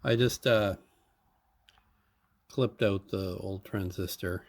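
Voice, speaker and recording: A man with a fairly low voice talks through hands-on repair work. He explains each step in an unscripted way, thinking aloud in short, halting phrases. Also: creaky. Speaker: hobbyist radio repairer.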